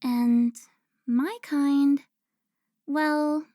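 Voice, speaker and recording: A woman playing a seductive, haughty vampire informant in an audio drama, teasing with drawn-out, theatrical delivery. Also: sits high, steady tone, dark tone, dry recording, studio quality. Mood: playful, gloating. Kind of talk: cinematic audio roleplay acting.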